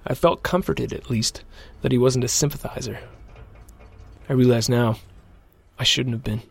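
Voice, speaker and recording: A man playing a rookie mech pilot, narrating his own story in a measured, reflective way in an audio drama. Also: medium pitch, vocal fry, a little hiss or hum, close to an everyday voice. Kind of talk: scripted first-person audio drama narration.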